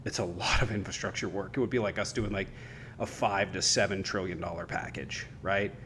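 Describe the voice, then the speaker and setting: Low-voiced man on a debate stream. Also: fairly even intonation, a little fast, fairly bright tone. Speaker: co-host of a livestream debate panel. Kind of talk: casual political debate chat. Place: livestream audio call. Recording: faint low rumbling background noise.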